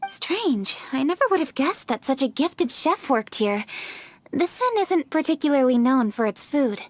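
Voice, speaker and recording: A woman's voice: high voice, big pitch swings, moderate pace, slight background noise. A woman voicing a poised, serious young noblewoman in a video game, speaking in a composed, formal way.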